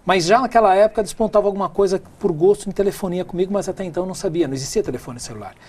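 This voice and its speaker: A man's voice: high voice, rapid-fire, a little mellow, slightly rough. A middle-aged man with a São Paulo Brazilian accent, telling his story conversationally as an interview guest. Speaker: interview guest, tech speaker and businessman.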